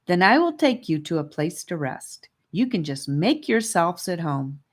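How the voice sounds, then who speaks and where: A woman's voice: fairly low pitch, sing-song, unhurried. Woman as proud, friendly fantasy boy guide. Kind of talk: children's audiobook character voice.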